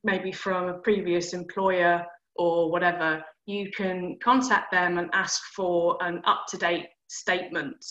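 A woman guest explaining pension steps in a clear, practical, step-by-step way. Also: fairly low pitch, little pitch movement, unhurried, few pauses, a bit bright. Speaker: personal finance guest. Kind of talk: explanatory advice in conversation. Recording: dull echoey room sound.

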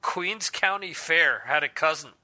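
Podcast host, man in his forties, bright slightly high voice, easygoing profane chat. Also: expressive, unhurried. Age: 40s. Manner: loose, swearing, laughs often. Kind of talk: casual chat. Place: lives in Spain.

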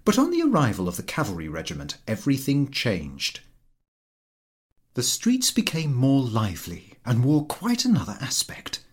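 Expressive male story reader, animated pitch. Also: normal speed, breathy edge. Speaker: audiobook reader. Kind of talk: literary story narration.

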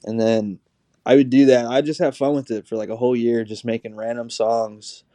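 A man guesting on a podcast as a musician, chatting casually and in a relaxed way with frequent fillers. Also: medium pitch, normal speed, no echo. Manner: relaxed, uses fillers like um and you know. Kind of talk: casual interview chat.

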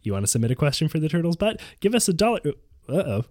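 A man's voice: fairly high pitch, very animated, fast talker, a little mellow. A man hosting a podcast in casual chat, introducing a segment in a playful, mock-spooky way. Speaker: podcast host.

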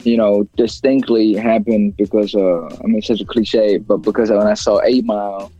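A man's voice: lowish voice, flat delivery, normal speed, warm tone, dull audio. A young man from China, a rapper as interview guest, talking casually in a rambling way with many fillers and restarts.

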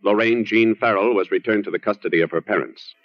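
A man with an American accent reading scripted 1950s radio announcements in a formal, measured way. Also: lowish voice. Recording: dull old radio recording.

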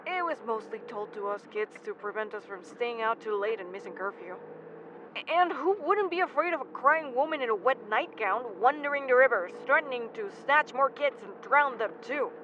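A woman's voice: a little high, lively intonation, moderate pace, close to an everyday voice. A woman acting a nervous, earnest young man who speaks hesitantly in an audio drama. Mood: explaining, hesitant. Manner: stammering, hesitant. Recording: muffled, vehicle noise behind.

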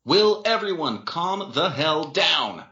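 A man playing an exasperated boyfriend in an audiobook who pleads and reasons for calm. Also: fairly high pitch, normal speed, reverberant, muffled recording, pitched above the performer's own voice. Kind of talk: audiobook character reading.

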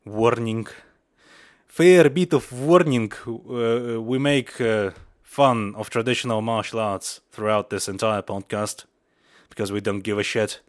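A man's voice: fairly low pitch, fairly even intonation, unhurried. A young man from Buryatia in Russia, a native Mongolian speaker, hosting a podcast in a rambling style full of fillers and restarts. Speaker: podcast host. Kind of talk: casual podcast intro.

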